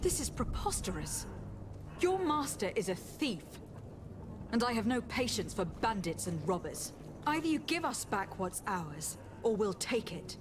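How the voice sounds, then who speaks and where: A commanding young noblewoman in a fantasy video game. Her voice sits fairly high, formal and stern, with haughty indignation. Loud ambience runs behind her. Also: very animated, a little slow, noisy background. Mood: indignant. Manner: formal, imperious. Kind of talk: scripted video game acting.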